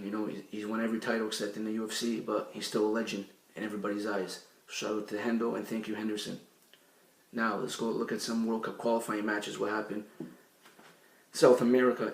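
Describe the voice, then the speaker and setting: A young man hosting a casual sports recap show, hoarse from losing his voice, talking loosely with fillers and his own opinions. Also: fairly low pitch, recorded from a distance. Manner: conversational, filler-heavy recap with personal opinions. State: hoarse, partly lost voice. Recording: echoey room, away from mic.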